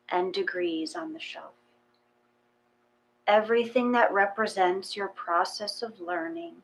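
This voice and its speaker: A woman reading a gentle guided meditation in a calm, measured manner, with long pauses between short phrases. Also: mid-range voice, slight background noise, rough recording.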